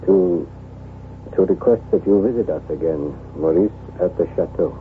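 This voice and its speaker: A man's voice: low-pitched, normal speed. An actor playing a troubled French nobleman and devoted husband in a formal, earnest manner, in 1970s radio drama style. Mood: grave, hesitant. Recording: tinny narrow-band old radio, faint noise.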